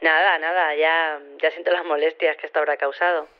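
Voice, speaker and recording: An actress playing an apologetic, casual woman scientist with a halting, understated delivery over a telephone in an audio drama. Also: deep voice, flat delivery, clean tone. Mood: sheepish. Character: normal-sized female scientist, apologetic, casual, sheepish. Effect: telephone filter. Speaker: phone-in caller. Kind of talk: Spanish audio fiction radio phone-in. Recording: slightly dull telephone sound.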